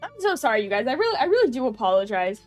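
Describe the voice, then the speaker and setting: A woman's voice: fairly high pitch, very animated, rapid-fire, faint music behind. A young woman streamer chatting casually with her viewers, her voice nasal and stuffy from a runny nose, full of fillers and giggles. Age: young adult.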